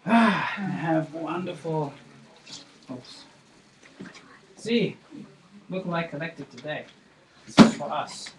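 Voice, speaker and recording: A man performs a brash, self-justifying robber who talks slowly and sits high in his range. The voice comes from far off in an echoey, noisy room. He brags and laughs loudly, then pleads. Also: animated. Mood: boastful.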